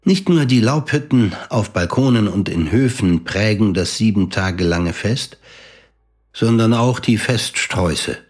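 An actor playing a composed literary narrator, delivering scripted narration in a measured, formal way for a radio drama. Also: male voice, fairly low pitch, expressive, breathy edge.

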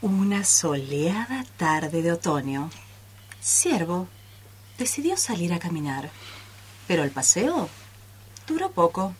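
A woman's voice: on the low side, big pitch swings, slow, long pauses. A woman with an Argentine accent narrating a radio storybook tale expressively.

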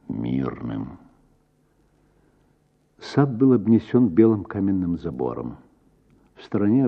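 A male actor narrating a literary story for radio with dramatic, measured delivery and deliberate pauses. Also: sits low, slightly rough, dull audio.

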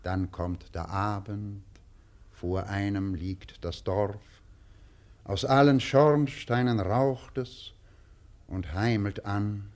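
An actor playing an earnest, courteous poet in a German radio play, speaking in a polite, measured, lyrical way. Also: male voice, on the low side, steady tone, darkish tone. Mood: earnest, reminiscing. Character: earnest, courteous male poet with a vagabond past.

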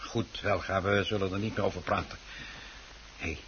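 An actor playing a meek, henpecked middle-aged husband in a Dutch radio play, hesitant, stammering and placating. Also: male voice, sits low, fairly even intonation, normal speed, a bit bright. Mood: resigned, placating. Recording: muffled, hissy old radio recording.

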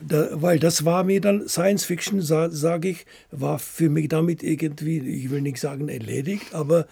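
An older man, a writer being interviewed, talking haltingly as he searches for words and often adding little tag questions. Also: on the high side, steady tone, creaky, dark tone, breathy edge. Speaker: radio play writer as interview guest. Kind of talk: unscripted interview chat.

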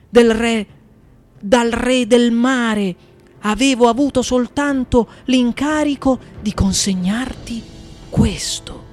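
Guy doing a worn-out old shark monster for a storybook, high wailing pleading voice, music behind. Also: a little mellow. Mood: pleading, solemn. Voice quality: moaning. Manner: pleading, drawn-out delivery. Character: old exhausted sea monster, a pleading, mournful shark creature.

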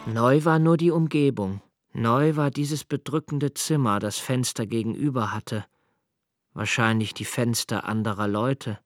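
Melancholy, observant young man, played by an actor, medium pitch. Measured radio-drama narrator, dry close sound, music behind. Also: fairly even intonation, dry recording, lightly acted. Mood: subdued, melancholy. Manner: measured, introspective, deliberate. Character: melancholy, observant young man recalling his father's death.

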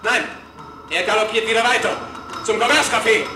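An actor playing a frantic lookout in a radio drama, calling out loudly and urgently in a declamatory way. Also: male voice, sits high, a little fast, soft background music. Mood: frantic excitement. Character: frantic male lookout crying out a horseman's approach. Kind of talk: German radio drama acting. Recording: echoing, noisy radio play scene.

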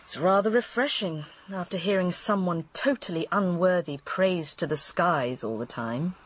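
An actress playing a candid, world-weary English widow in a radio drama, confiding and matter-of-fact. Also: female voice, on the low side, moderate pace, slightly rough. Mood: wry.

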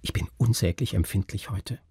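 Guy playing a broody nervy man in a story, halting and intense, low husky voice. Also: mellow, no room sound, clean studio sound, heavily acted. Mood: uneasy, searching. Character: brooding, oversensitive man confiding uncanny thoughts. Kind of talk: dramatic story reading.

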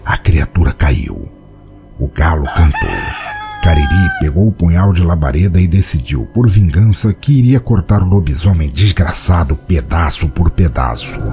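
A male narrator tells a dramatic story in a Brazilian accent. His voice is very deep and soft-toned, with lively swings of intonation, and music plays behind it. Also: normal speed.

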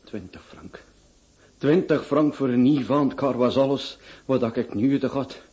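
A man's voice: medium pitch, unhurried, warm tone, dull audio, lightly acted. Radio drama: male actor as a glum, stuttering pauper on his deathbed, Flemish accent. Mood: weary, glum. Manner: stammering, weary dialect speech. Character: poor dying man in hospital, glum and timid.

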